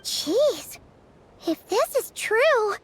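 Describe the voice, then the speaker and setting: A woman voicing a tiny, excitable female fairy companion in a video game, with a squeaky childlike voice and slangy, exclamatory delivery. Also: drawn-out words, slight background noise, heavily acted. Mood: surprised. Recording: music playing behind the voice.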